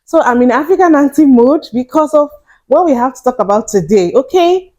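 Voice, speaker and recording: A young woman vlogger giving casual, animated commentary straight to her viewers, often incredulous. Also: high voice, brisk. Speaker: reaction vlogger.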